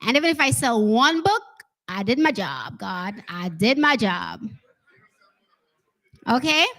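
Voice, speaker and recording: Woman giving an expressive, chatty author talk. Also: fairly high pitch, measured pace. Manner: chatty, expressive, addresses listeners with "right?" and "okay?". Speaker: children's author presenting her book; special education teacher. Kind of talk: informal talk and read-aloud to an audience.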